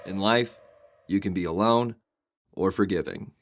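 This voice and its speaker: A man's voice: sits low, a little slow. A man reading a reflective, confessional personal video essay as a scripted narration. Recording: guitar music behind the voice. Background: guitar music.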